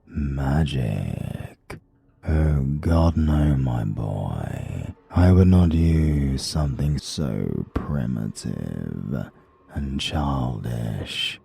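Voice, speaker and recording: A man with a British accent voicing a demonic British gentleman who drawls slowly and menacingly. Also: animated, creaky, breathy edge, heavily acted. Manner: slow, deep, drawling and menacing.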